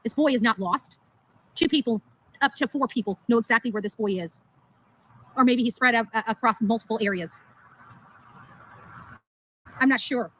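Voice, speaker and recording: A woman's voice: fairly high pitch, moderate pace, long pauses, a bit bright, poor audio. A woman livestreamer speaking in an emphatic, indignant and repetitive way as she vents. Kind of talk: live stream monologue, venting.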